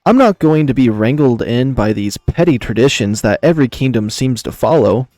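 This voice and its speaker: A man's voice: medium pitch, lively intonation, moderate pace, warm tone, dry recording, close to an everyday voice. A man voicing a proud, playful young emperor in a visual novel, boastful and easily indignant. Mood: defiant. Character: proud young male emperor, cocky, playful, flustered when teased.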